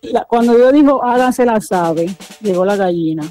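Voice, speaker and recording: A woman on a phone call, talking nervously and haltingly and repeating herself. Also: mid-range voice, expressive, dark tone, soft background music. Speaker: prank call victim. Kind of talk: phone conversation. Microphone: telephone call.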